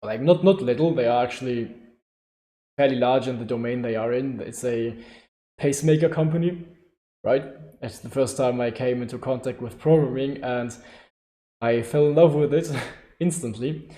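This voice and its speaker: A man's voice: moderate pace, long pauses, warm tone. A man in his twenties with a German accent, chatting loosely on a live Q&A stream, often restarting sentences and laughing. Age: 20s. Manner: rambling, self-correcting, laughing often. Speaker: livestream host. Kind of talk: casual live Q&A. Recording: echoey room with low-quality stream audio.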